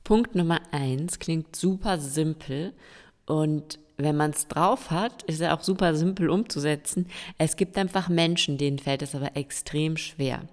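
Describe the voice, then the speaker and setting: A woman of around forty, hoarse and stuffy-nosed, hosting a solo podcast and encouraging her listeners directly. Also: sits low, a little slow. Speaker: podcast host. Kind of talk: solo podcast monologue giving tips. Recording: long reverb with faint music.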